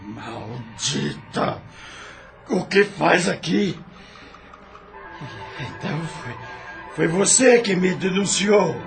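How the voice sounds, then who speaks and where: A jailed doctor, anguished and pleading, with a Brazilian Portuguese accent. His gravelly voice swings widely in pitch as he speaks slowly over faint music. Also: expressive, full character voice. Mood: desperate. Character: imprisoned doctor, desperate and pleading.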